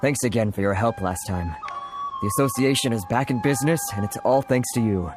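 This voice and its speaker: Actor as an anxious game merchant, mid-pitched man, hesitant, apologetic, flustered, self-pitying, faint music. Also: animated, a little fast, close to an everyday voice. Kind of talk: video game voice acting.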